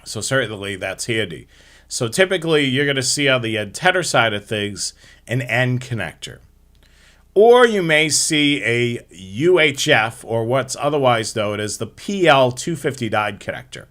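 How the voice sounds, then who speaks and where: Bright, forceful man presenting a slideshow. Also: mid-range voice, sing-song, moderate pace. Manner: explanatory, step-by-step. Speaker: host of a live hobbyist radio show.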